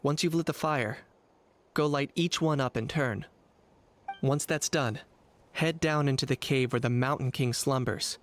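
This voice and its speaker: A man voicing a cool, composed young warrior guide in a video game, measured and matter-of-fact. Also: medium pitch, lightly acted. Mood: calm, instructive. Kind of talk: video game character acting.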